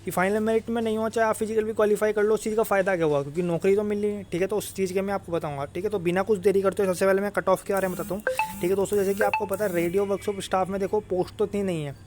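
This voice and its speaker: Fast, high-pitched young man coaching students. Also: little pitch movement, a little mellow, faint music behind. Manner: repetitive, checks in with tag phrases, mixes in English words. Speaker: exam-preparation coach. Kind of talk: informal explainer addressed to students.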